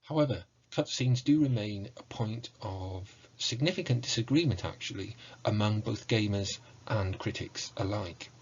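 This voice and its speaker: A middle-aged man giving a prepared online lecture in a measured, formal, explanatory way. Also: on the low side, a little hiss or hum. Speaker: university lecturer in music. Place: small indoor room with bookshelves. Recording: muffled, dull online recording.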